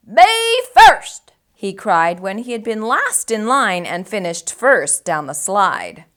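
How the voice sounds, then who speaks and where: A woman hosting a storytime, reading a children's book aloud in an animated style for young listeners. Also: medium pitch, measured pace.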